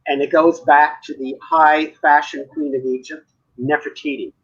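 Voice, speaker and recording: A man's voice: on the high side, flat delivery, normal speed, slightly rough, highs cut off. A man from Manhattan, an artist chatting casually on a live video call and telling stories with frequent laughs. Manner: chatty storyteller, laughs often. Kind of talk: casual live-stream chat.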